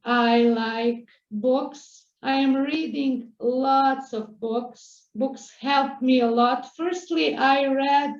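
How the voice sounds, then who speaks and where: A woman's voice: high voice, steady tone, very slow, few pauses, echoey room, highs cut off. A woman from Serbia with a Serbian accent, a native Serbian speaker, answering interview questions in English and searching for words. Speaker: guest.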